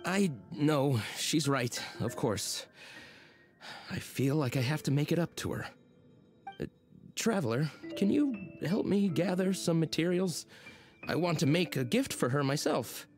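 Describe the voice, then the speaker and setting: A man's voice: fairly high pitch, animated, unhurried, soft background music. A man voicing a sheepish, hesitant drunkard husband in a video game, full of uhs. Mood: apologetic.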